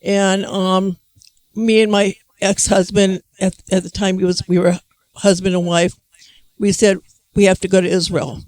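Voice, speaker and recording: A woman's voice: medium pitch, flat delivery, measured pace, a little mellow. An older American woman giving a personal testimony at a conference, rambling and emotional with many restarts, speaking into a handheld microphone in a hall. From United States.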